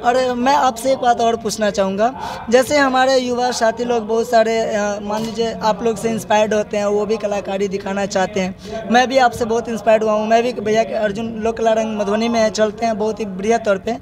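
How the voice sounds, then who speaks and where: A man's voice: high-pitched, level tone, fairly quick. A man doing an enthusiastic, effusive on-location interview for local news. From Bihar, India.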